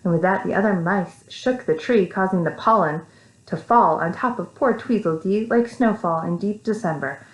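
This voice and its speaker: A woman with an American accent reading a story to young children, animated, with simple casual asides. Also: mid-range voice, normal speed. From Texas, United States. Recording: echoey room.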